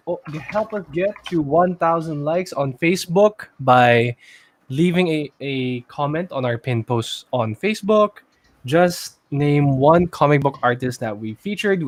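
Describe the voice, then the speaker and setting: A man's voice: a little high, expressive, unhurried, dry recording. A man co-hosting a podcast, speaking in a casual, conversational style. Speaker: podcast co-host. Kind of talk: casual chat.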